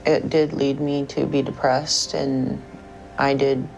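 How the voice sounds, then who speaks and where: A woman's voice: a bass voice, level tone, takes it slowly, a little mellow, rough recording. An American woman talking about personal matters as a patient in a counseling session, with hesitant phrasing. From Portland, Oregon, United States. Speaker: therapy patient. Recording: clear background room noise.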